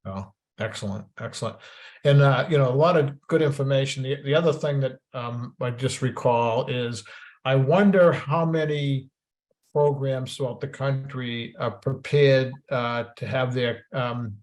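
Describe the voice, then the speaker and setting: A man's voice: medium pitch, fairly even intonation, unhurried, a little mellow. A man from the Boston area with a Boston accent, hosting a webinar over a video call in a rambling, jokey way with many restarts. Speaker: webinar host. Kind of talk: casual moderated webinar discussion.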